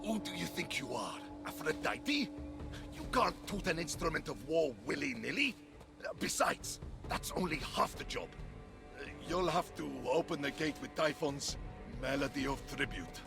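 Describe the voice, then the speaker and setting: An actor playing an insecure, blustering war god in bird form, with a gravelly, pompous, self-pitying delivery for a video game. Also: male voice, fairly high pitch, animated, moderate pace, music in the background. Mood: indignant. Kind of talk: animated video game character acting.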